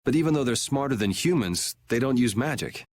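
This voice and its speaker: A man's voice: mid-range voice, animated, fairly quick, no echo, natural voice. An actor playing a casual, cool-headed young swordsman in a game, with a wry, laid-back manner. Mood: curious, wry. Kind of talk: English game dub acting.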